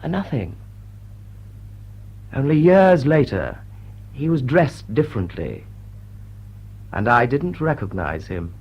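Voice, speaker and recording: An actor playing a dry, urbane English lord and suitor in a stage-style radio drama, speaking in a husky, understated, hesitant way. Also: male voice, mid-range voice, very animated, slow, long pauses, a little mellow, dull audio, full character voice. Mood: wry, reflective.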